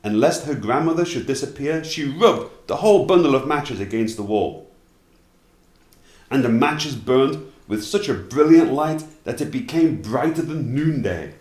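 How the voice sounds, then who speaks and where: A man narrating fairy tales as an expressive storyteller, at times dropping into casual asides. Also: mid-range voice, echoey room.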